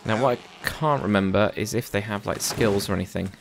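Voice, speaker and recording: A man's voice: lowish voice, brisk, creaky, faint music behind. A man hosting a let's play, giving casual, chatty commentary and thinking aloud as he plays.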